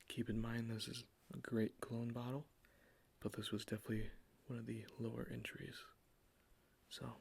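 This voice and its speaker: A man in an ASMR roleplay, giving a soft-spoken, enthusiastic sales pitch as a cologne salesman close to the microphone. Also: little pitch movement, normal speed, vocal fry, husky.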